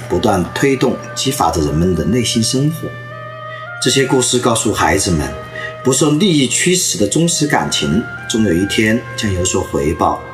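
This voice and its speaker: Animated male storyteller giving a lecture. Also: fairly low pitch. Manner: lively storyteller who acts out anecdotes.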